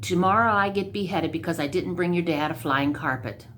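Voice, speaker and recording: A woman's voice: fairly low pitch, a little fast, a bit bright, slight background noise, lightly acted. A woman voicing a brash young male rug salesman in a storybook, with a glib, slangy way of talking. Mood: glib, wheedling.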